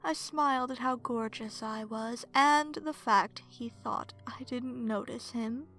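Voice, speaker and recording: A woman playing a seductive, smug and predatory vampire in an ASMR horror story, speaking in a sultry, breathy way that slips into whispers. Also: fairly high pitch, slow, a bit bright. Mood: sultry scheming. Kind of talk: ASMR horror story narration in first person.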